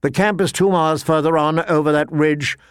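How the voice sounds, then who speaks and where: A man's voice: fairly high pitch, fairly even intonation, fairly quick, clear, resonant tone, no echo, clean studio sound, close to an everyday voice. A man as a wary plain-spoken scout. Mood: uneasy. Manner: plain, rustic, guarded reporting.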